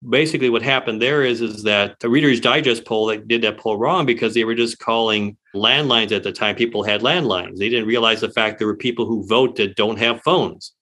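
A man's voice: on the low side, brisk, few pauses, bright, forceful tone. A man in his sixties from Detroit, a pollster who is a guest on a radio interview and talks about his career in a chatty, rambling way. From Detroit, Michigan. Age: 60s.

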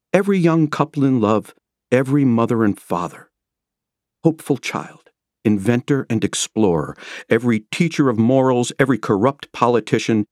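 Expressive male audiobook narrator, very lively intonation. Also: medium pitch, dry recording, clean studio sound. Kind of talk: scripted nonfiction audiobook narration. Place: close, dry studio recording.

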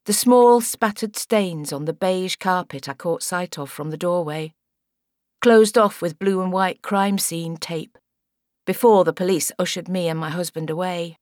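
Lowish-voiced woman narrating a thriller. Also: a little slow, a little mellow, no echo, clean studio sound. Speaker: audiobook narrator. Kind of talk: scripted first-person thriller narration.

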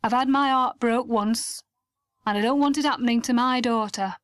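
A woman voicing a gruff, protective older mother who talks bluntly and scolds. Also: on the high side, moderate pace, a bit bright, no echo, clean studio sound, lightly acted. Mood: stern.

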